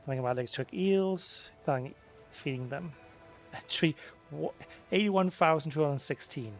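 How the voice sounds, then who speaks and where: A man with a slightly higher-pitched voice talks casually through a video game. He mutters understated, offhand remarks while game music plays behind him. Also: normal speed. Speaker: gaming video creator. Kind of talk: casual low-key Let's Play commentary.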